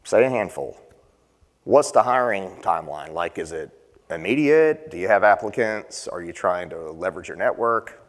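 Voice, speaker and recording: A man's voice: low-pitched, expressive, normal speed. Presenter man quizzing a conference audience. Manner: conversational, quizzes the audience with questions. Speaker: session presenter. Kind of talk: conference panel talk. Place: conference session room. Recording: long hall reverb.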